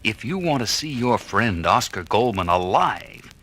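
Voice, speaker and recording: An actor playing a curt, threatening kidnapper in an audio drama, giving orders in a clipped, commanding way. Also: male voice, lowish voice, very animated, measured pace, fairly bright tone, exaggerated voice. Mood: cold, threatening. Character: curt, threatening male kidnapper on a ransom call. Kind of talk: 1970s children's record audio drama acting.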